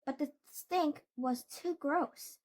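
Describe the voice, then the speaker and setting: A woman reading a children's storybook aloud in a deliberate way, with small stumbles and corrections. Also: high voice, darkish tone. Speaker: read-aloud host.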